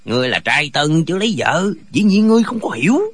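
A man acting a husky, bickering martial-arts eccentric. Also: fairly high pitch, very animated, quick pace, no room sound. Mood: bickering, mocking. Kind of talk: dramatized wuxia audiobook dialogue.

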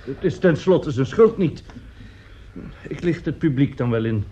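A high-voiced, fussy theatre official. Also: male voice, animated, measured pace, mellow, dull audio, a little hiss or hum. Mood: decisive, soothing. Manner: managing, soothing. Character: fussy but conciliatory male theatre official taking charge. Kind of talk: radio play acting.

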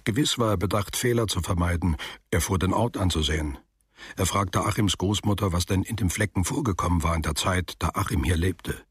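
An actor playing a reflective narrator who tells a literary radio drama in a measured, precise, deliberate way. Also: male voice, low-pitched, husky, dry recording, studio quality. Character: reflective male author-narrator recounting a boy's postwar memories.

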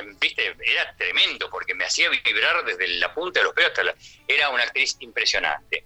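A man's voice: medium pitch, bright, forceful tone, slightly rough, rough recording. An older man with a Rioplatense Argentine accent, speaking as a theatre actor on the radio in a chatty, rambling, conversational way with frequent question tags.

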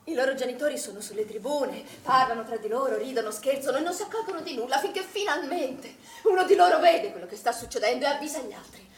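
An actress playing a troubled, grief-haunted middle-aged woman in an Italian stage drama, with anguished, heavily paused delivery. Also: high voice, expressive. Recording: distant echoey stage recording with background noise.

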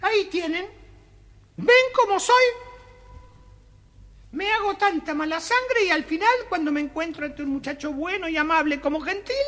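An actor voicing an excitable, soft-hearted, grumbling little male dwarf in a children's audio storybook, theatrical and exclamatory. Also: very high-pitched, moderate pace, pauses often. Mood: chatty, mock grumbling.

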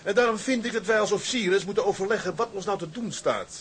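A man's voice: high voice, fast talker, slightly rough. A man playing a restless, dissatisfied ship's officer with a direct, challenging way of talking in a period drama.

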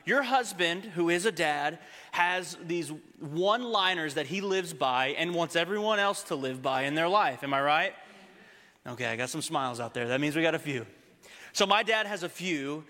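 A young adult man from Texas giving a casual, humorous sermon to a congregation, slowing down to read scripture. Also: fairly high pitch, animated, pushed and bright. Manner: conversational, humorous, slows down when reading scripture. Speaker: preacher. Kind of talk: sermon with storytelling. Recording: long hall reverb.

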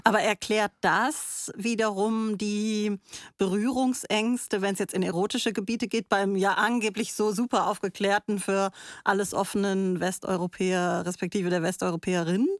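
A woman's voice: mid-range voice, steady tone, moderate pace, no room sound, studio quality. A woman hosting a radio talk in a conversational style, articulate and often questioning. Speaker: radio host.

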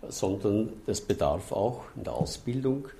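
Deep mellow Austrian man, roomy sound. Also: sing-song, unhurried, gravelly. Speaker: interviewee. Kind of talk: casual spoken interview answers. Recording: echoey room with faint ambient noise.

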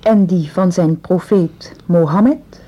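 An actress playing a frightened, insistent young English woman in a radio drama, speaking earnestly and deliberately. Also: on the low side, dark tone. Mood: urgent, insistent. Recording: dull, lo-fi radio drama recording.

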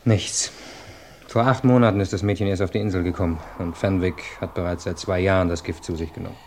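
A resigned, low-voiced investigator. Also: male voice, steady tone, fast talker, a little hiss or hum. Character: weary male investigator, doubting his case. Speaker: investigating lawyer. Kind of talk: radio play acting.

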